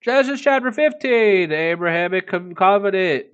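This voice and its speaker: A man's voice: sits high, animated, moderate pace, a bit bright, clear, resonant tone, lo-fi sound. A man reading a book aloud as a streamer, breaking off into casual, irreverent, swearing commentary. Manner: irreverent, profane asides.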